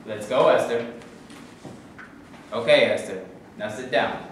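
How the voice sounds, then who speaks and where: A man's voice: mid-range voice, slow, lightly acted. A man acting a no-nonsense hospital attendant in a staged reading, brief and commanding. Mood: directing. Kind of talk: amateur staged reading of a play. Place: live staged reading in a reverberant room. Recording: very echoey room, distant mic, faint noise.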